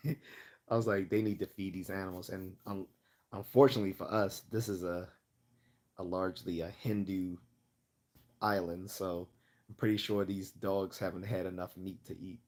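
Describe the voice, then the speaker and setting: A man hosting a casual livestream Q&A, chatting and rambling as he loses his voice and keeps clearing his throat. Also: low-pitched, fairly even intonation, normal speed, long pauses, a little mellow. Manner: rambling, chatty, laughs often. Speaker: livestream host.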